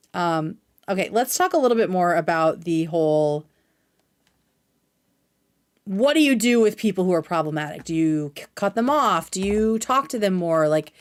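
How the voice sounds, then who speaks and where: A woman hosting a livestream, giving casual, conversational reaction commentary with animated emphasis. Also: medium pitch.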